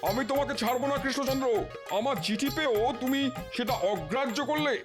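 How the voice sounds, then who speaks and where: A man's voice: very high-pitched, fast talker. A man voicing an imperious, menacing Nawab in a Bengali comedy cartoon, haughty and commanding. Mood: outraged. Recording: music behind the voice.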